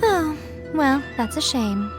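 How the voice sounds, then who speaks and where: A woman's voice: high voice, very animated, slow, full character voice. An actress playing an elegant, sly woman of authority in an anime game, coy and teasing. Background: game music.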